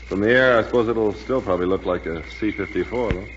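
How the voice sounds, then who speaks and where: A man's voice: fairly low pitch, fast talker, lightly acted. An actor in a 1940s radio drama playing a sceptical, practical, plain-spoken airman. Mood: wry, practical. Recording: dull, noisy, echoing 1940s radio recording.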